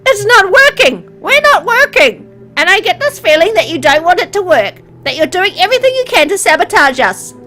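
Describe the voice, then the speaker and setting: Woman acting an exasperated girlfriend, high and loud. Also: sing-song, moderate pace, hardly any pauses, exaggerated voice. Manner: emphatic, emotional. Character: exasperated, pleading young girlfriend in visual novel. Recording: music behind the voice.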